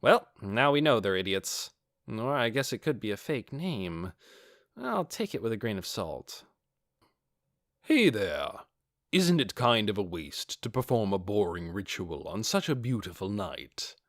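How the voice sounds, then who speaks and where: A man voicing a calm, coldly confident armored adventurer with a measured, quietly mocking way of talking, for a fantasy audiobook. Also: lowish voice, no room sound, clean studio sound, full character voice. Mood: dry, whispering aside.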